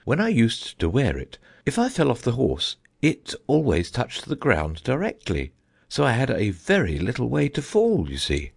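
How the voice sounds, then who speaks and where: A man voicing a solemn, dreamy old knight who rambles about his inventions, in a husky voice. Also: moderate pace, exaggerated voice. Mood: musing. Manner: rambling, thoughtful, lively pitch swings.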